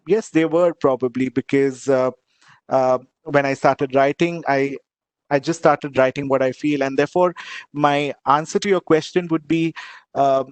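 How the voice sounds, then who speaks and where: A man from India, a poet whose first language is Gujarati, answers questions in a video-call interview. He talks earnestly and thinks out loud, often restarting his sentences. His voice sits at a middling pitch and sounds very close and dry, with no room around it. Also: steady tone, unhurried, clear, resonant tone, no room sound. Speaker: poet and guest speaker. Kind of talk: online panel interview, reflective answers.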